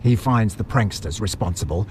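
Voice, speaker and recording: Man playing a deep-voiced menacing butcher villain. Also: noisy background, natural voice. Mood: gloating. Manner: theatrical, drawn-out taunting. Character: menacing maniacal butcher villain, gloating over his victim. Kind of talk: audio drama acting.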